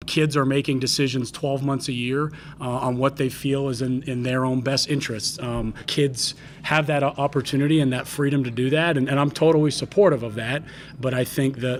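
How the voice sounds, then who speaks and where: A man, a college coach, answering a press question in a halting way, repeating words as he reasons. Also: medium pitch, a little hiss or hum.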